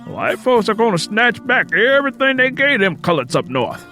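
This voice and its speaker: A man's voice: sits high, very animated, normal speed, sharp tone, voice pushed up, exaggerated voice. A man playing a bitter, stubborn older man in an audiobook, lecturing in folksy Southern US dialect. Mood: bitter, warning. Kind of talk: acted audiobook character dialogue. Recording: music playing under the voice.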